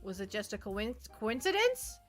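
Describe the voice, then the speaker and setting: A woman's voice: mid-range voice, very animated, moderate pace, fairly bright tone, faint music behind. A woman chatting casually to viewers as a livestream host, rambling playfully and often repeating her phrases.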